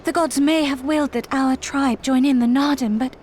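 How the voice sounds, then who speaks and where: A woman's voice: high-pitched, steady tone, normal speed, darkish tone, slight background noise, lightly acted. An actress playing an earnest young tribeswoman who speaks in a pleading way. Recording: game soundtrack under the voice.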